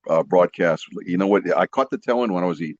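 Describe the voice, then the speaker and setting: An older man with a low voice, heard through a dull, slightly lo-fi microphone in a dry space. He chats casually as a podcast co-host, agreeable and enthusiastic, often saying things twice. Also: fast talker, a little mellow, dry recording. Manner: enthusiastic, agreeable, repeats himself. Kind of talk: casual chat.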